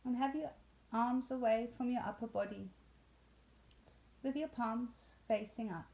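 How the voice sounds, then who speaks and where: Female meditation guide, very slow and soothing, soft-toned and mellow, leaving long pauses. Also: a little high, low-quality recording. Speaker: yoga nidra instructor.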